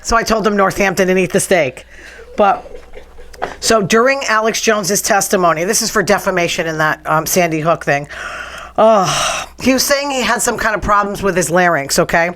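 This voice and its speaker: A woman's voice: mid-range voice, moderate pace, a bit bright. A blunt, sarcastic woman hosting a casual talk show, speaking. Her voice sounds strained and worn, as if she nearly lost it. She rants in a chatty, freewheeling way. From New England. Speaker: podcast host.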